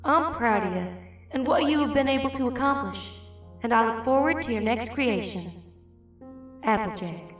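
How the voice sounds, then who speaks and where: A woman playing a kind, supportive pony friend, reading her letter warmly and encouragingly in a fan audio story. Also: a little high, lively intonation, normal speed, long pauses, faint music behind, natural voice. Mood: tender, encouraging. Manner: warm, encouraging. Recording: very echoey voice, slightly distant.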